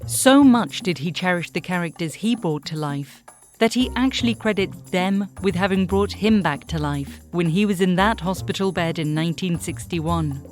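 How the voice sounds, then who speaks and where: A woman with a fairly low voice narrates a scripted documentary. She speaks as the host of the programme, with faint music behind her. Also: normal speed, a little mellow.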